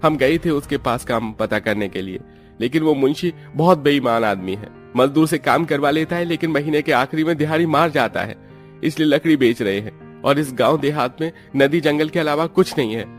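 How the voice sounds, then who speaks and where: A man's voice: a little high, lively intonation, moderate pace, soft background music, close to an everyday voice. A man playing defensive, hard-up young village brothers who grumble and explain in a cartoon story. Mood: defensive, grumbling. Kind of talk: Hindi cartoon story dialogue.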